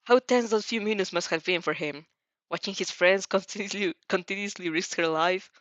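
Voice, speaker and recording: A woman's voice: mid-range voice, normal speed, fairly bright tone, dry recording, dull audio. A woman reading a story aloud expressively as an amateur, often stumbling and rereading phrases. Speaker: fanfiction audiobook reader.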